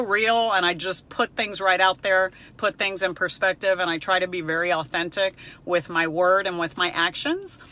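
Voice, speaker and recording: Chatty middle-aged woman with a bright voice. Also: mid-range voice. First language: Spanish. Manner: chatty, personable, emphatic, frank. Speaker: counselor and live-stream host. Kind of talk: casual live-stream chat answering viewers.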